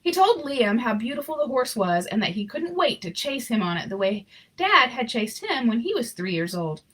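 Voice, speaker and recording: A woman reading a children's picture book aloud in an expressive, informal way with chatty asides. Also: fairly high pitch, normal speed, breathy edge. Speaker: story reader.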